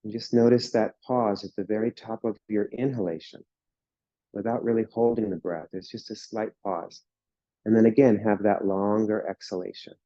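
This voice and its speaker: A man guiding a yoga class through slow breathing and relaxation, speaking in calm, soothing instructions. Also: fairly low pitch, no room sound, lo-fi sound. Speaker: yoga teacher.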